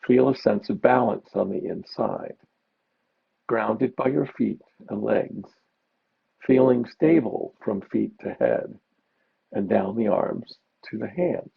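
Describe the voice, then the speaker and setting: A man teaching meditation, giving gentle, unhurried guided mindfulness instructions with pauses. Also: fairly low pitch, vocal fry, breathy edge. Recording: dull, muffled lo-fi recording.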